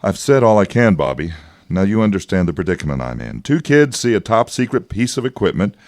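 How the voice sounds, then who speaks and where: An actor in a radio drama playing an evasive, persuasive government agent, smooth-talking and patronizing, with hesitations. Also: male voice, deep voice, big pitch swings, a little fast, dry recording, clean studio sound. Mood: guarded, persuasive.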